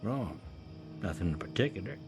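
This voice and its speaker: A man's voice: on the low side, animated, moderate pace, vocal fry. An actor playing a weary, doubtful older man in a radio drama, dry and resigned. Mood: indifferent. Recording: music bed under the voice.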